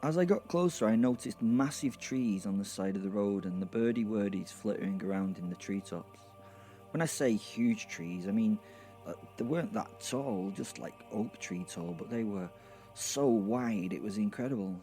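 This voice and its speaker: A man's voice: on the low side, expressive, moderate pace, dark tone, soft background music. A man playing an irreverent, foul-mouthed werewolf who narrates an audio drama with hesitant, rambling, wry asides. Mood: sardonic. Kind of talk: first-person horror comedy audio drama narration.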